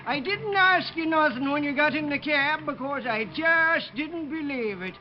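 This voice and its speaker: Incredulous cabbie, high-pitched and halting, old-time radio comedy style. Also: female voice, lively intonation. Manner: halting, ungrammatical working-class talk. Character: bewildered cab driver, slow and incredulous. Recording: muffled old radio recording, faint noise.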